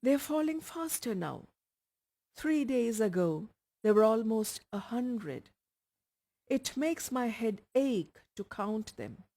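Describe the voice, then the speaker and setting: A woman voicing a sick young woman in a story, resigned, listless and quiet. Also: a little high, sing-song, takes it slowly, pitched above the performer's own voice, heavily acted. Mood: resigned, fatalistic.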